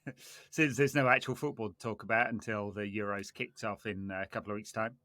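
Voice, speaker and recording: A man's voice: fairly low pitch, steady tone, brisk, pushed and bright, dry recording. A man hosting a casual football podcast, chatting with dry, sardonic banter. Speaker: podcast host.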